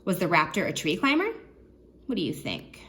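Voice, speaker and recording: A woman children's librarian reading a nonfiction book aloud, expressively, pausing to put questions to young listeners. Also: on the low side, huge pitch swings, bright, forceful tone.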